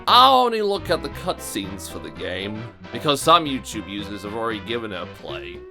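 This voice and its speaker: A man's voice: on the low side, big pitch swings, moderate pace, music in the background. A man hosting a scripted video review in a theatrical, mocking manner. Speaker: host of a video review series.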